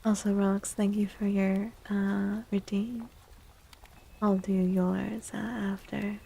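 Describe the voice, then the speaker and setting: Soft breathy woman, gravelly fry, ASMR. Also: mid-range voice, flat delivery, slow pace. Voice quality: soft, breathy, often half-whispered. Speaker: livestream host and tarot reader. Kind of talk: soft-spoken ASMR livestream chat.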